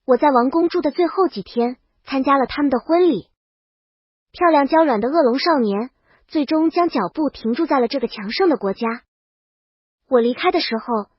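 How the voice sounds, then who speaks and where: A woman's voice: sits high, dry recording, highs cut off. A woman reading a scripted first-person comic story as a princess, with dramatic, wry delivery. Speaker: narrator.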